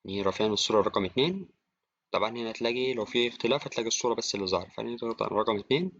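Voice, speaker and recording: A man with an Egyptian accent giving an informal software tutorial, talking to the viewer like a friend. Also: on the low side, very fast, no room sound. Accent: Egyptian Arabic. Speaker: tutorial presenter. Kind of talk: casual screen-recorded software tutorial. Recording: dry, slightly dull, with clicking.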